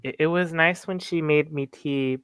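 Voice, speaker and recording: A young man playing a tabletop roleplaying game, speaking in character in a halting, stammering way. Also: a little high, steady tone, a bit bright, clean tone, no room sound, studio quality. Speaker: player.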